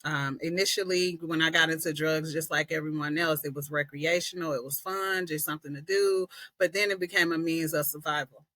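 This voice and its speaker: A woman from Atlanta, a podcast guest earnestly telling her personal story with frequent pauses and "um"s. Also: low-pitched, steady tone, sharp tone, no echo. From Atlanta, Georgia, US. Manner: earnest, reflective, many "um"s. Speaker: podcast guest, peer specialist.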